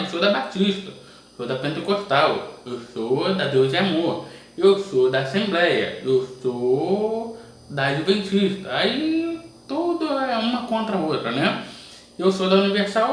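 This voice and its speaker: A man reading Bible verses aloud and commenting on them informally, often adding "né?" as he goes. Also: on the high side. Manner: reads verses aloud, then comments with frequent "né?" asides. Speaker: preacher reading scripture. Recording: distant mic, very echoey room.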